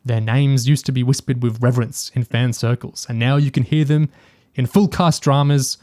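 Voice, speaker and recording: A man's voice: mid-range voice, fairly even intonation, moderate pace, dark tone. A man with a mid-range voice co-hosts a discussion podcast. He talks in a casual, chatty way, picking through details analytically with the keenness of a dedicated fan. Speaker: podcast co-host. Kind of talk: casual discussion of audio drama and TV.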